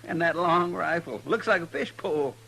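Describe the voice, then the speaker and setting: Eager, high-voiced cowboy sidekick. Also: male voice, normal speed, vocal fry, pushed and bright, slightly rough, full character voice. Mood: excited, amused. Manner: eager, folksy, polite. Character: excitable, naive, folksy deputy sidekick. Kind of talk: old-time radio Western acting. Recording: noisy old radio recording.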